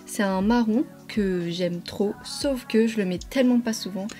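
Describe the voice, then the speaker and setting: A woman's voice: mid-range voice, measured pace, mellow, soft background music. A young woman chatting casually to followers like a vlogger, in an informal, slangy, rambling way with self-corrections. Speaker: beauty and lifestyle vlogger. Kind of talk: casual chat to followers while doing makeup.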